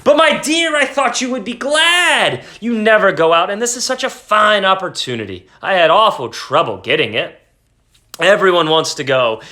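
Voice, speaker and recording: A man's voice: high-pitched, pushed and bright, pitched above the performer's own voice, exaggerated voice. A man doing a flustered, stammering young husband. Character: timid, eager-to-please young clerk husband. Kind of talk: dramatic reading.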